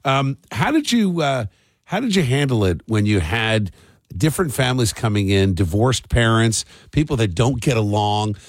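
A man's voice: medium pitch, slightly rough, no room sound. A middle-aged American man hosting a morning radio show, chatting casually and often asking questions on a close studio microphone. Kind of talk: casual talk radio chat and call-in. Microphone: close studio broadcast microphone.

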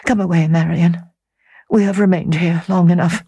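A reader voicing a young, gentle but resolute lady who speaks firmly and with dignity. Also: mid-range voice, steady tone, moderate pace, gravelly, slightly rough, no room sound, voice pushed up. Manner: firm, dignified.

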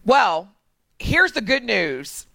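A woman co-hosts a radio talk segment, teasing her partner and trading quick jokes. Her voice rises and falls a great deal as she talks. The studio sound is close and dry. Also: on the high side, very animated, creaky, bright, forceful tone, dry recording. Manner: playful, quick to joke and do impressions. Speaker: radio co-host. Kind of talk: casual radio banter.

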